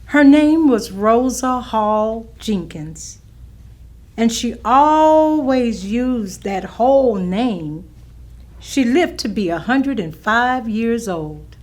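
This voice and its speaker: A woman playing a plainspoken grandmother in a staged reading, recalling her forebears deliberately. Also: a little high, expressive, a little mellow. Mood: proud, plain. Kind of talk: staged reading of oral history.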